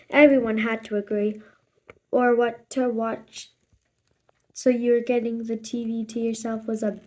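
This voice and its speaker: A woman reads a storybook aloud at a very unhurried pace. Her voice sits fairly high, and she delivers lines and sound effects expressively. There is noise behind her. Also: a little mellow, rough recording. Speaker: read-aloud narrator. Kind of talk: storybook read-aloud with acted dialogue and spoken sound effects.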